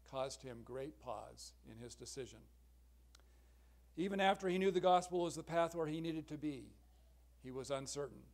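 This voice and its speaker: A man's voice: a little high, a little mellow. Earnest man lecturing from a podium. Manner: measured, earnest, clears throat now and then. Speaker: symposium speaker. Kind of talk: academic conference talk. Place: large lecture hall at a podium. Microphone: podium microphone, far camera.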